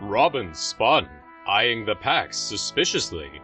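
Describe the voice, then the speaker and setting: Guy narrating fantasy audio drama, bright voice, bit far from mic. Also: fairly low pitch, sing-song, takes it slowly, soft background music.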